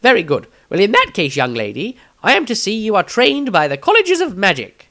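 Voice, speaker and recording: Man putting on an excitable, high-pitched pompous wizard. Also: brisk, heavily acted. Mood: pleased, pompous. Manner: pompous, exclamatory. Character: eccentric self-important male wizard, excitable and pompous. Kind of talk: storybook character voice.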